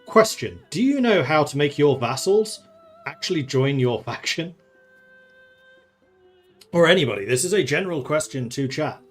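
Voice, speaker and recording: A man's voice: fairly high pitch, animated, normal speed, pauses often, soft background music. A man from the Netherlands who grew up in England, streaming a strategy game and thinking aloud in casual commentary, chatting with viewers and laughing often.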